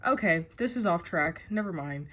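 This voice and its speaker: Chatty young woman, kinda low voice, bubbly and rambly, talking to her viewers. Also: animated, normal speed, bright, forceful tone. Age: young adult. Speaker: writer vlogger. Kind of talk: casual chat to camera.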